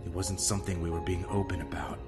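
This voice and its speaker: A man's voice: deep voice, steady tone, quick pace, music in the background. A man voicing a stern, blunt male squad captain who swears, in a fan-made parody drama. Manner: blunt, curses.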